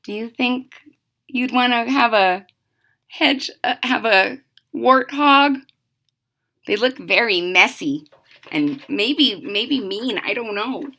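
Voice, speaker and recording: A woman's voice: a little high, takes it slowly, pushed and bright. A woman reading a children's story aloud expressively as host, with chatty asides and questions to young listeners. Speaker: storybook reader and channel host.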